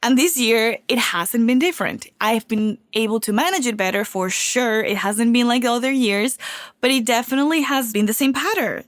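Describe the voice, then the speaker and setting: Woman host, recovering from a cold; warm confiding podcast delivery, dry close mic. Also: a little high, moderate pace, no room sound. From Venezuela. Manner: upbeat, heartfelt, confiding. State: getting over a cold. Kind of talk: solo podcast talk.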